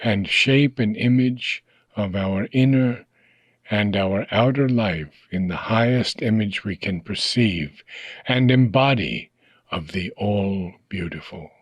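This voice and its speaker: A man reading a philosophical text aloud in slow, deliberate phrases, often trailing off softly at the ends of lines. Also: fairly low pitch, breathy edge, no echo. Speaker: reader.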